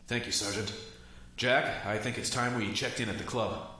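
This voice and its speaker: An actor playing a grim, commanding war pilot and Martian hunter in a pulp radio drama, stern and declamatory. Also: male voice, on the low side, fairly even intonation, moderate pace, pushed and bright, breathy edge. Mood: resolute.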